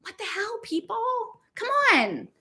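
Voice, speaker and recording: A woman's voice: very high voice, huge pitch swings, a little slow, bright, forceful tone. An American woman giving an informal, impassioned monologue to online listeners, emphatic and exasperated, rambling through rhetorical questions. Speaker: podcast host reading her blog aloud with commentary.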